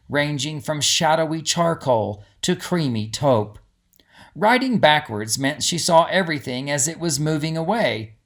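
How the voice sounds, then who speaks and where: A man's voice: a little high, a bit bright. Man narrating a children's storybook. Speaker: author and narrator.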